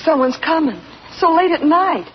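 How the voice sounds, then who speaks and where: A timid young farm girl with a high, soft and hesitant voice. Heard in a vintage radio play with dull, lo-fi sound and a faint hiss. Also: expressive, normal speed. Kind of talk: 1940s radio acting. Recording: dull lo-fi old radio recording.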